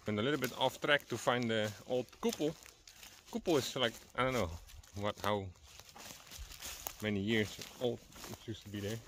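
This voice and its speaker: A man's voice: medium pitch, moderate pace, a bit bright. Chatty man on a forest walk. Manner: chatty, searching for words. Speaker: vlogger. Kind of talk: casual walking vlog. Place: outdoors in a forest. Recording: outdoor noise behind voice.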